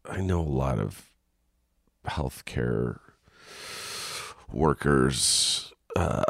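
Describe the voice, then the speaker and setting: Congested male podcast host, nasal and gravelly, very low, unhurried rambling delivery. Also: animated, a bit bright, no echo, studio quality. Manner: rambling, frank, with frequent ums and restarts. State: congested and coughing. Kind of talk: casual solo podcast monologue. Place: home.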